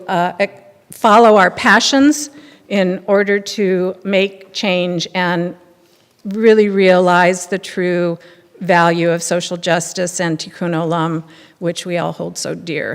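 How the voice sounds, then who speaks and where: An older woman giving unscripted closing remarks as a committee chair, halting as she searches for words. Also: on the low side, clean tone. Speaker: committee chair thanking participants. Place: synagogue sanctuary at a podium. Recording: reverberant hall.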